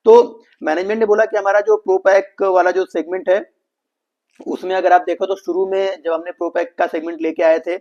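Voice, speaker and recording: A man's voice: sits high, flat delivery, moderate pace, a little mellow. An Indian man presenting a financial explainer, addressing viewers as friends and mixing English business terms into his explanations. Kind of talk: explainer commentary on an earnings call. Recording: dull lo-fi voice recording.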